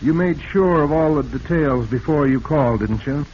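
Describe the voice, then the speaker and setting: An actor playing a scheming, polite and nervous failed author in 1940s radio style, with hesitant, guarded delivery. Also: male voice, on the high side, normal speed, darkish tone, natural voice. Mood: tense, furtive. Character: scheming, nervous male publishing-house reader and failed author. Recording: muffled, noisy vintage radio recording.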